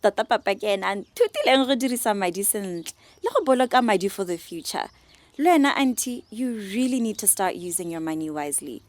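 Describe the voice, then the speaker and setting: A woman playing a practical young vlogger and niece in a radio drama, with a Botswana accent and an expressive, code-switching delivery. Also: medium pitch, fast, no room sound, close to an everyday voice. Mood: concerned, insistent. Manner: expressive, switches between Setswana and English.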